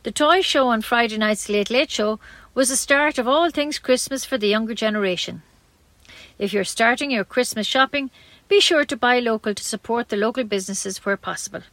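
A woman's voice: a little high, fairly bright tone, no room sound. Irish woman reading local news, close dry sound. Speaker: community radio newsreader.

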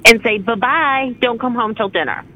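A woman's voice: on the high side, normal speed, phone-quality sound. A middle-aged woman calling in to a talk show, chatting casually. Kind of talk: casual conversation.

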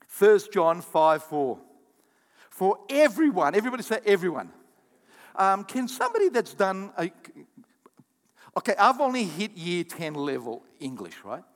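A man preaches to his congregation in a high voice that leaps up and down with energy. He calls on the crowd to repeat words and drops in quick asides as he goes. His voice rings with a long reverb through the hall. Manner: animated preaching with audience call-outs and asides. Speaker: pastor. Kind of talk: sermon.

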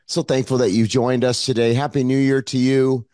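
A man with a hoarse, froggy voice, preaching an online Sunday sermon as pastor in an earnest, conversational way with frequent fillers. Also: medium pitch, flat delivery, normal speed, a little mellow, no echo, studio quality.

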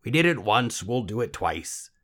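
A man voicing a croaky, snappish little old humpbacked creature in a storybook tale. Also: on the low side, sing-song, normal speed, fairly bright tone, voice pushed up. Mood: snappish, bargaining.

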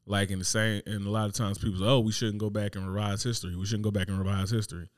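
A man's voice: fairly low pitch, flat delivery, quick pace, no room sound, studio quality. A man talking casually and animatedly in slangy speech, full of rhetorical questions. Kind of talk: casual chat.

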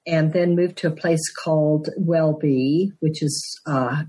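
A woman's voice: low-pitched, fairly even intonation, mellow, breathy edge. A woman in her sixties from Denver, telling her story earnestly as a podcast guest. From Denver, Colorado. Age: 60s. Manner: earnest storytelling, quick laughs. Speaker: guest, author and Christmas elf. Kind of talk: conversational podcast interview.

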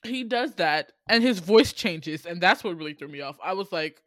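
An American woman co-hosting a casual movie recap, telling the story in an animated, slangy, profane way and laughing often. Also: lowish voice, moderate pace, dry recording, clean studio sound. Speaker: podcast co-host recapping a movie. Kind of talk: casual comedic storytelling chat.

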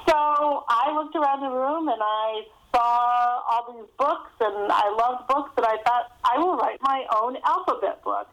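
Female author guest, middle-aged or older, higher-pitched voice, informal anecdotal interview delivery. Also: a little slow, few pauses, fairly bright tone, low-quality recording. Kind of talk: casual interview storytelling.

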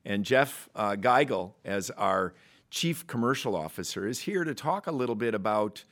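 A man with a low voice hosts a podcast. He chats and jokes easily with his guest, asking long, winding questions full of restarts and filler words. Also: animated, moderate pace, a bit bright. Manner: joking, rambling with frequent restarts. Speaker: podcast host. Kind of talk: conversational podcast interview.

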